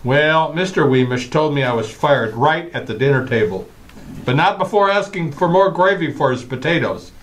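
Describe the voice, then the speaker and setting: A man's voice: fairly high pitch, lively intonation, normal speed, a bit bright, slight background noise. A man playing an exasperated family father, eager to impress, in radio comedy style with a hesitant, stammering delivery. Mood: aggrieved. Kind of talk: old-time radio comedy acting.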